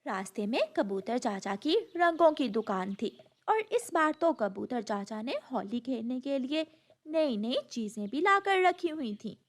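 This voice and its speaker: A woman's voice: fairly high pitch, expressive, unhurried. A woman narrating a scripted children's cartoon story.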